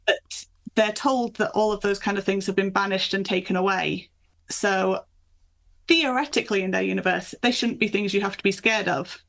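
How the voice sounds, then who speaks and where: A woman with a mid-range voice and a noticeable creak talks as a guest author. She explains things in an enthusiastic, conversational way, as in an interview. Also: medium pitch, steady tone, normal speed, fairly bright tone. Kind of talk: conversational interview chat about folklore.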